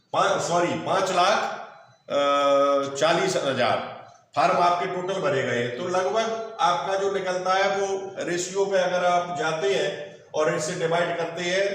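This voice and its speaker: High-voiced man teaching, very echoey. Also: fairly bright tone. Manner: instructive, reassuring, mixes in English terms. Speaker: exam coaching teacher. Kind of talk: explanatory online lesson, point by point. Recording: very echoey room, distant mic.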